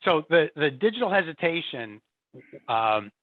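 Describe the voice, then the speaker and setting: A man's voice: fairly high pitch, big pitch swings, measured pace, no room sound. A man speaking as an interview guest in a conversational way. He repeats words and often adds "you know" and "right?". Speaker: guest, support and field services executive. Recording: tinny remote call audio, dry.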